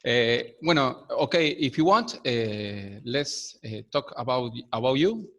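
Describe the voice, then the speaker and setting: A man hosting a video call interview, speaking slowly and searching for his words. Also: mid-range voice, expressive, a bit bright, highs cut off. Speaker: interviewer, host.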